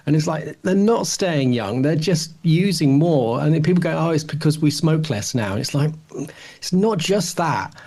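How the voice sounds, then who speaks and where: A man's voice: on the high side, animated, normal speed, a little mellow. A man in his forties co-hosting a podcast, casually rambling through his opinions and thinking aloud with frequent false starts. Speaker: podcast co-host.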